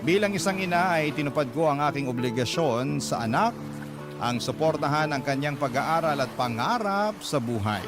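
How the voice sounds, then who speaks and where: Man as heartfelt Filipino storyteller over loud music. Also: on the high side, lively intonation, normal speed, natural voice. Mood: earnest, reflective. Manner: earnest, confiding. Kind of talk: first-person radio drama narration. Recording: loud music bed behind narration.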